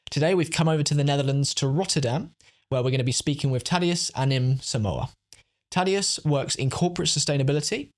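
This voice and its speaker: A man's voice: on the high side, fairly quick. A man hosting an interview podcast, giving prepared introductions and questions. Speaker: podcast host.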